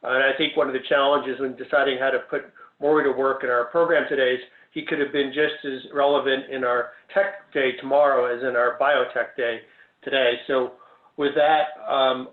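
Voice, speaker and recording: Halting man on a tinny, echoey line. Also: medium pitch. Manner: halting, many ums and restarts. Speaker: event organizer introducing a panel. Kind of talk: hesitant welcoming remarks on a video call.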